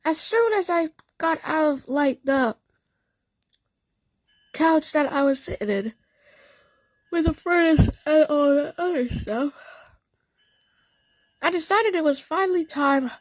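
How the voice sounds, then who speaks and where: A woman narrating a first-person story in a halting way, stumbling and correcting herself as she goes. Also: high voice, animated, dry recording, poor audio. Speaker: narrator of a fan audio story.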